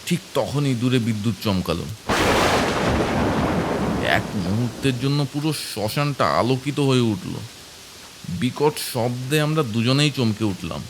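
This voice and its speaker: A man's voice: medium pitch, animated, unhurried, long pauses, background noise. A man narrating a scripted first-person horror story as its storyteller. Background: prominent background music.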